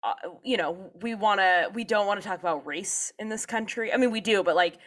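A woman's voice: medium pitch, a little fast. A woman co-hosting a podcast, chatting casually and joking, now and then slipping into comic voices. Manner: jokey, slips into comic put-on voices. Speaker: podcast co-host. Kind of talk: casual conversational chat.

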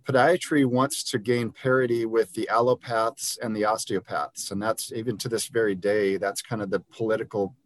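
A man's voice: fairly low pitch, fairly even intonation, moderate pace, few pauses, poor audio. A man, a podiatrist guest on an interview podcast, explaining his views in an earnest, conversational way with frequent ums and restarts. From Liberia.

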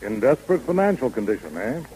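A man acting as an inquisitive, careful senior lawyer in a 1930s radio play. The mid-pitched, mellow voice moves in lively curves, over faint broadcast noise. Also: close to an everyday voice, rough recording. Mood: cautious, curious. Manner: questioning, measured. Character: cautious, inquisitive senior male law partner. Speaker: radio actor.

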